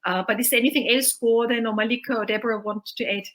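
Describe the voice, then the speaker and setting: A woman moderates a video-call panel. Her voice sits somewhat high, and she talks in a loose, chatty way, often saying "you know". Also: fairly even intonation, fast talker, a bit bright. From Austria. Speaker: host and moderator.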